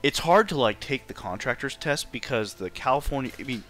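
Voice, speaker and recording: A man who is streaming, chatting casually in a loose, halting, slangy way. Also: medium pitch, lively intonation, a bit bright. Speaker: game streamer. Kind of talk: casual chat. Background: faint music.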